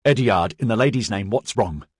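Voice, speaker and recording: A loyal young squadmate, read by a male narrator, pleads with anxious concern. The voice is husky and recorded very dry. It is character dialogue from a fantasy audiobook. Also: deep voice, expressive, normal speed, no echo. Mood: worried.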